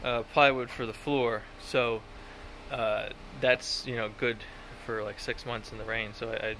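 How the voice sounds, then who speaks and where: Bright-voiced man filming his build outdoors. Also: mid-range voice, fairly even intonation, measured pace, slight background noise, rough recording. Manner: rambling, self-deprecating, chatty with fillers. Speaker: home builder vlogger. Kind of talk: casual self-filmed build vlog. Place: outdoor construction site in woods. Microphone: phone held at arm's length.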